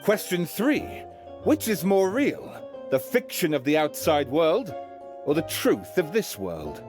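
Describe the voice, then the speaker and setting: An actor playing a showy, declamatory quizmaster who poses solemn riddles in a video game. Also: male voice, a little high, sing-song, slow pace, over music. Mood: grand, solemn. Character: theatrical male quizmaster posing solemn riddles. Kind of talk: video game character acting.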